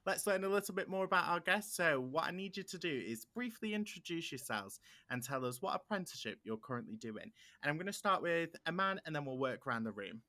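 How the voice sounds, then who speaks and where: A man's voice: fairly high pitch, expressive, quick pace, hardly any pauses, pushed and bright. A man hosting a roundtable interview, upbeat and encouraging as he introduces guests and asks questions. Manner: upbeat, encouraging, fluent presenter. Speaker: panel host.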